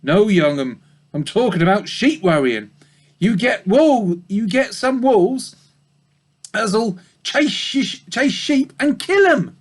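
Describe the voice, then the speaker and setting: A man's voice: sits high, big pitch swings, takes it slowly, pitched above the performer's own voice, heavily acted. A man voicing an old female ewe who speaks in a broad rustic country dialect, drawling and hesitant, as he reads a children's book aloud. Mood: complaining.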